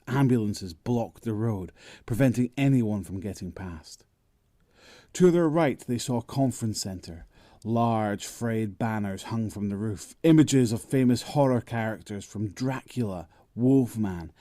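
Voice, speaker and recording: Male narrator, lowish mellow voice, very dry close recording, dramatic scripted storytelling. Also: dry recording.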